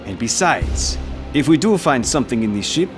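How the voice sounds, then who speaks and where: An actor playing a commanding salvage crew captain in an audio drama, insistent, bargaining and menacing. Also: male voice, mid-range voice, big pitch swings, normal speed, music in the background, natural voice.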